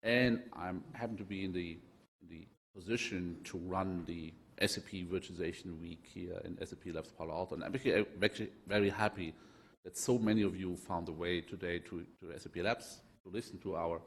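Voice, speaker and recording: A man with a German accent and a deep, low voice gives his panel introduction in an auditorium. He talks in a chatty, self-deprecating way and often restarts his words. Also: steady tone, brisk, slightly rough.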